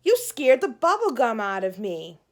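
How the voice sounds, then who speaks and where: A woman's voice: on the high side, very animated, moderate pace. A woman voicing a moody, bossy young big sister in a children's storybook, with an emphatic, snappy delivery. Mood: annoyed. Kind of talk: children's storybook read-aloud.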